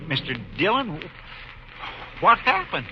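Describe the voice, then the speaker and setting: An American actor playing a folksy, loyal and deferential deputy sidekick in a 1950s radio western. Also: male voice, high-pitched, wildly swooping pitch, slow pace, full character voice. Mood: awed. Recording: noisy, muffled 1950s radio recording.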